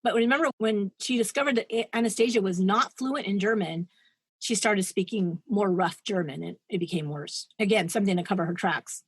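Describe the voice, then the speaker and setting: A woman's voice: medium pitch, brisk, slightly rough, no room sound. A woman co-hosting a podcast, retelling a true-crime story in a chatty, digressive way and laughing at her own asides. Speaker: podcast co-host.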